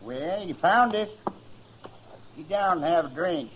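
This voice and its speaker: Slow drawling boozy cowboy drifter, folksy, muffled old radio show. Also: male voice, fairly high pitch, lively intonation, slight background noise. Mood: lazy, offhand. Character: easygoing drunken drifter, fatalistic cowboy. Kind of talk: 1950s western radio drama acting.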